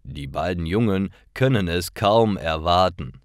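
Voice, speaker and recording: Guy reading simple stories for learners, really slow, voice swings up and down, super dry sound. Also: sits low, lively intonation, no echo. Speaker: narrator of German learning stories. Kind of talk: scripted story narration for language learners.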